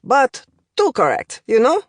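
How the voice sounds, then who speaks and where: A woman's voice: high voice, huge pitch swings, measured pace, dry recording, clean studio sound, voice pushed up, exaggerated voice. A woman voicing an older male professor, opinionated and instructive, in an audiobook. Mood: wry, correcting. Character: older male Romanian language professor, opinionated, smoking, encouraging.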